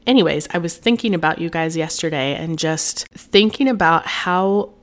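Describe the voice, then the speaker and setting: A woman's voice: on the low side, normal speed. Congested woman hosting a podcast. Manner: warm, chatty, encouraging. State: congested from a cold. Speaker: podcast host and business coach. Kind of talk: solo podcast talk.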